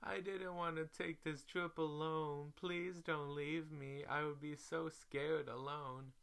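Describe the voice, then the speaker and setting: A man's voice: on the high side, flat delivery, takes it slowly, creaky, fairly bright tone, clean tone, pitched above the performer's own voice, exaggerated voice. A man puts on a whiny, naive young woman for a comic story. The voice is lifted above his own, pleading and defensive. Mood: pleading, tearful.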